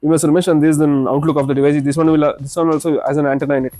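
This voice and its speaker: Male, Indian accent, rapid salesman patter, slightly high voice, repeats himself while demonstrating products, music behind. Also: little pitch movement, dark tone, dry recording, clean studio sound. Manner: repeats words and phrases while explaining. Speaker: shop salesman presenting products.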